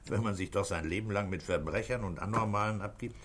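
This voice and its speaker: An actor in a 1960s German radio play, playing a thoughtful, conscientious middle-aged police inspector with a dry, deliberate manner. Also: male voice, on the low side, background noise, close to an everyday voice. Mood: weary, musing.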